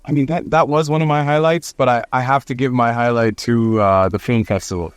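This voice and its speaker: A man co-hosting a podcast in casual chat, his voice raspy and a little hoarse from a cold, telling stories in an animated way. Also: mid-range voice, a little fast, no echo, clean studio sound. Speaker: podcast co-host.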